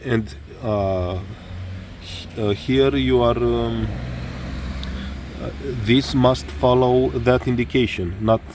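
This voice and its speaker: Slow hesitant man, Romanian accent. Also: medium pitch, fairly even intonation, darkish tone, slight background noise. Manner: halting, many fillers, searching for words. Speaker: motorcycle tutorial maker. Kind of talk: hesitant spoken tutorial.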